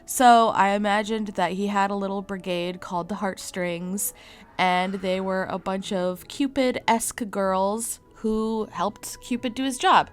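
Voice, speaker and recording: A woman's voice: medium pitch, fairly even intonation, a little slow, smooth, soft background music. A woman with a stuffy, congested voice from a cold, chatting casually and rambling as she talks about her art. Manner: chatty and rambling, many likes and self-corrections. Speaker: artist and channel host. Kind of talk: casual voice-over for a speed draw.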